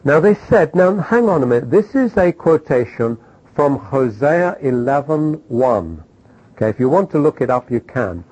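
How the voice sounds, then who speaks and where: A man's voice: medium pitch, very animated, normal speed, darkish tone, husky, low-quality recording. A man teaching a Bible class in an emphatic way, often asking his listeners questions.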